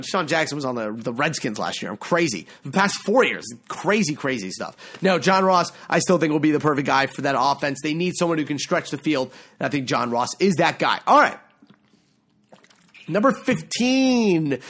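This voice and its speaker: A man's voice: a little high, fairly bright tone. A young man hosting a solo football mock draft podcast, rambling and correcting himself, his voice a little worn. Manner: rambling, self-correcting, enthusiastic. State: losing his voice. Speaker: podcast host.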